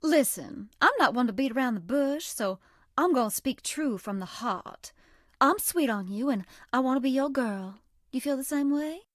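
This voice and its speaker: Sweet, high-voiced Southern belle. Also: female voice, lively intonation, moderate pace, a bit bright, no echo, clean studio sound. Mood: nervous, smitten. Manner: coy, folksy, old-fashioned endearments. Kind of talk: dating game character voice acting.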